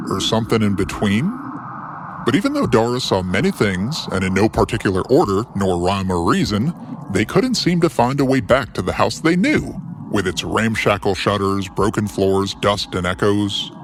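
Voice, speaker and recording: Animated low-voiced male storyteller. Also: background noise. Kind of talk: scripted fantasy story narration.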